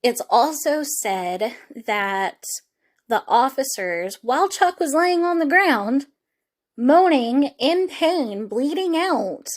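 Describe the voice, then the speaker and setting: A woman hosting a true crime podcast, giving casual commentary in a conversational, opinionated and emphatic way. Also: high voice.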